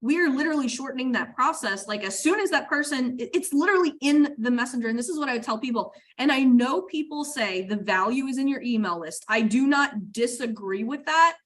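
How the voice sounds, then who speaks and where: A woman business coach hosting an interview, talking enthusiastically in fast bursts with lots of fillers. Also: high voice, animated. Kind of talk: interview chat.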